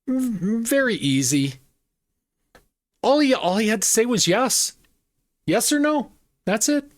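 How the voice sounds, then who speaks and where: A host giving opinionated political commentary in an emphatic, repetitive and scornful manner. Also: mid-range voice.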